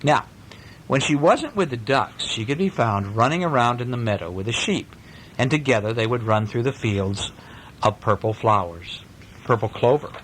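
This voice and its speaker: Animated man reading a children's bedtime story. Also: medium pitch, normal speed, a little hiss or hum, low-quality recording. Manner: playful, with asides and questions to young listeners.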